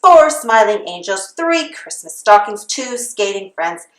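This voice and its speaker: A woman's voice: mid-range voice, big pitch swings, unhurried, reverberant. A woman reading a children's storybook aloud in the sing-song manner of a Christmas carol. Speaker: storybook reader.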